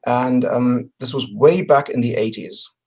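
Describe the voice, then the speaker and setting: A middle-aged man talking casually as an interview guest on a video call, often pausing to search for words. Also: medium pitch, darkish tone, slightly rough. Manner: halting, word-searching delivery. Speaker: podcast guest presenting a crowdfunding campaign. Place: home room with bookshelves, on a video call.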